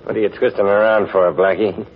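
A man's voice: on the low side, steady tone, a little fast, natural voice. An actor playing a dim, gloating gangster henchman with slangy tough talk in a 1940s radio drama. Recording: dull vintage 1940s radio recording.

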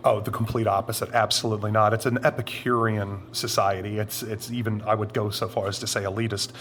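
A middle-aged man with a deep voice, answering interview questions in a deliberate, explanatory way with drawn-out emphatic phrases. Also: flat delivery, a bit bright, a little hiss or hum. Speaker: interview guest.

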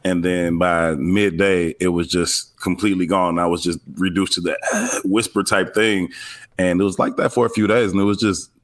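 Low voiced radio guy on a podcast, laid back, telling a story with small laughs. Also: steady tone, moderate pace. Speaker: radio and live-event host, podcast guest. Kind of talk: casual podcast chat.

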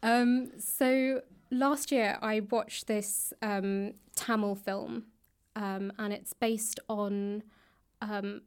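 A woman with a southern British accent chatting casually as an interview guest, tentative and pausing mid-thought. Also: medium pitch, darkish tone, smooth. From London, England. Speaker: interview guest, author and actor. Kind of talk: casual chat. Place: indoors, in a library.

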